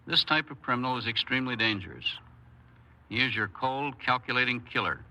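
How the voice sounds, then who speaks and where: Solemn male guest commentator, scripted and didactic, medium pitch, strongly penetrating tone. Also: fairly quick, sharp tone, poor audio. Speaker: state corrections official as guest commentator. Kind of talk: formal scripted radio commentary.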